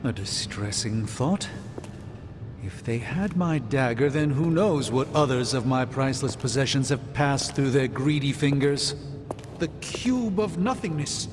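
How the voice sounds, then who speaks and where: An actor playing a learned, middle-aged sorcerer superhero in a video game, speaking in a formal, theatrical and grave way. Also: male voice, medium pitch, animated, a little slow, close to an everyday voice. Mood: grave, proud. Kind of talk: video game cutscene acting. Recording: long hall reverb under music.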